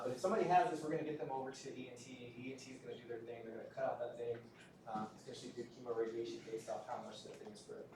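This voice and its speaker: A man's voice: mid-range voice, dark tone. A man giving a casual classroom lecture, often repeating himself and checking in with "right?". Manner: conversational, repeats words, frequent "right?" checks. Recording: roomy, distant lecture-hall recording with faint noise.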